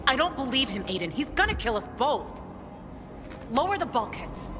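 A woman's voice: high-pitched, big pitch swings, normal speed, music in the background. An actress playing a tough, vengeful, defiant young female fighter in a video game. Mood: angry. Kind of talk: dramatic video game acting.

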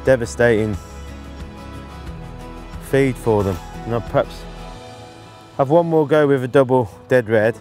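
Middle-aged male angling presenter, relaxed and instructional, mid-pitched, halting delivery, recorded outdoors with music behind. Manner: unhurried, halting phrases while busy. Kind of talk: relaxed instructional commentary while fishing. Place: outdoors on an open lakeside bank.

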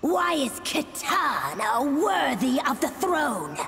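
Theatrical, menacing monster princess. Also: female voice, sits high, big pitch swings, takes it slowly, creaky, exaggerated voice. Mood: haughty, angry. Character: vicious, mocking half-monster warrior princess. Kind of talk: video game character acting. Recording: long hall reverb, music behind.

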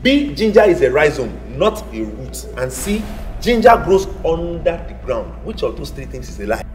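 A man's voice: very animated, normal speed, darkish tone. A middle-aged man hosting a television quiz show in a studio, theatrical, drawing out key words, on a lapel mic. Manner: theatrical, swings pitch and drags out key words. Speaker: game show host. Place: indoor TV studio set. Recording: faint music behind.

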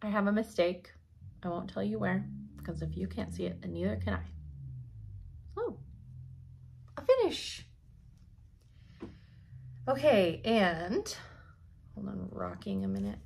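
A young woman giving a chatty cross-stitch update from her home craft room, her voice slightly hoarse, laughing often as she thinks aloud. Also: medium pitch, lively intonation, measured pace, long pauses, a little mellow, slight background noise. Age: young adult. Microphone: camera in front at desk.

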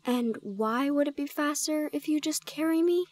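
Woman voicing young gorgon woman, audio drama, high-pitched, shy, easily flustered, incredulous, halting and exclamatory. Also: darkish tone, no echo, close to an everyday voice. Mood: flustered, questioning. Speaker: audio roleplay performer. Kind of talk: audio roleplay drama acting.

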